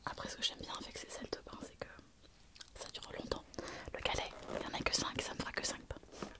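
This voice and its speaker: Whispering woman with a cold. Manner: soft whisper throughout, unhurried with many fillers. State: has a head cold. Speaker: ASMR creator. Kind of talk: whispered ASMR show-and-tell.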